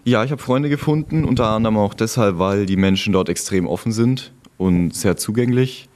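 A man's voice: lowish voice, normal speed, a little mellow. A young man from Germany chatting casually as an interview guest, telling of his own experiences. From Germany, born in Frankfurt and living in Franconia. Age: young adult. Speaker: interview guest, returned volunteer abroad. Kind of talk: casual conversational storytelling.

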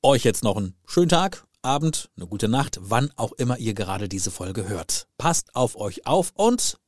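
A man with a medium-pitched voice works as a podcast host. He talks conversationally and effusively, often doubling words for emphasis, as if face to face with a guest in a studio. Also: very animated, normal speed. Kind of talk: warm-toned conversational interview and sign-off. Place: indoor studio, in person with guest.

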